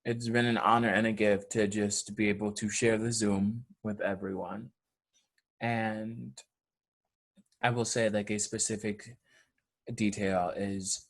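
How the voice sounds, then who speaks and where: Slow, measured man with a lowish voice. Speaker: audio play cast or crew member. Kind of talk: earnest interview talk.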